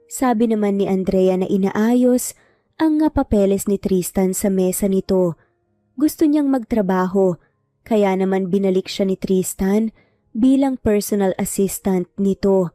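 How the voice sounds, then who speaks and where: A woman's voice: medium pitch, measured pace, warm tone, dry recording, clean studio sound. A woman reading a scripted romance story as its narrator. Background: background music.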